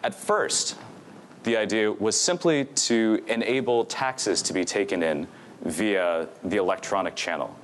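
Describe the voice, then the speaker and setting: A man's voice: on the low side, measured pace, a little hiss or hum. A young man giving an academic conference presentation from a podium microphone, speaking fluently with frequent fillers. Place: lecture hall stage at a podium.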